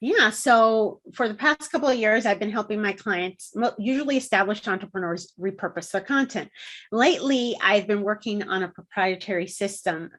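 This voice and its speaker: A woman in her forties, originally from Puerto Rico, speaking as an interview guest. Her voice sits at a middle pitch. She explains her points patiently and in a friendly way, with plenty of ums. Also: normal speed, a bit bright. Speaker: guest, content marketing consultant. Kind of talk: interview answers.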